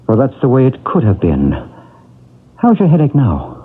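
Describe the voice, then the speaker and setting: An actor playing a calm paranormal expert who gently reassures a woman in an old radio drama. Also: mid-range voice, moderate pace, husky. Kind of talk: 1970s radio drama acting. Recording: tinny old radio broadcast.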